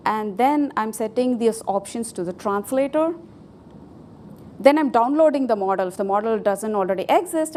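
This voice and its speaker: Woman whose first language is Tamil, conference presenter, fairly high voice, loose and hesitant delivery, faint background hum. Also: darkish tone. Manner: informal, hesitant, with fillers and restarts. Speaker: conference speaker. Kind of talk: technical conference talk with live demo. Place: conference hall.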